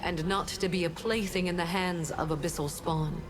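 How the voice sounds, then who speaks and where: Woman playing a solemn low-voiced goddess of justice. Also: fairly even intonation, lightly acted. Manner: grave, measured, formal. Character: solemn, righteous goddess of justice, stern and noble. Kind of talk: video game voice acting.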